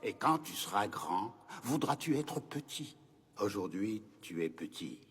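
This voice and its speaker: Wise old cartoon grandpa, very husky and breathy, slow, calm and kindly. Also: male voice, lowish voice, heavily acted. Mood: kindly, welcoming. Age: older. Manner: measured, solemn, explanatory. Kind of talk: animated film dialogue.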